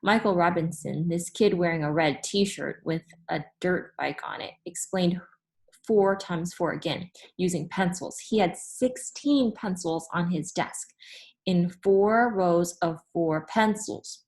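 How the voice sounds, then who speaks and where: 低音调的女性故事朗读者. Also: female voice, on the low side, takes it slowly, a little mellow. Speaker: read-aloud storyteller. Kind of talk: expressive children's story narration.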